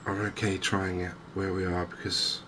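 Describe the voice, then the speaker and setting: A soft-spoken man giving hesitant, measured ASMR-style commentary as host of a gaming video. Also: sits low. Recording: clear steady background noise.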